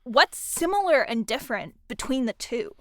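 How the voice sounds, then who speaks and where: A woman's voice: fairly high pitch, very animated, normal speed, a bit bright, no room sound. A woman interviewing a colleague for a podcast in a casual, conversational chat. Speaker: interviewer, podcast sound designer.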